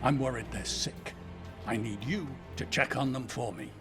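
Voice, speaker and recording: An actor playing a cranky old elf mentor in a video game, wry, blunt and teasing. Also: male voice, mid-range voice, moderate pace, over music, lightly acted. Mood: grave, wary. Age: older. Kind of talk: video game dialogue acting.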